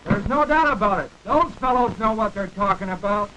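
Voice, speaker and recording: A man's voice: high voice, expressive, normal speed. An actor playing a stubborn, gullible American everyman in a 1940s educational film, speaking earnestly and insistently on a crackly soundtrack. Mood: matter-of-fact.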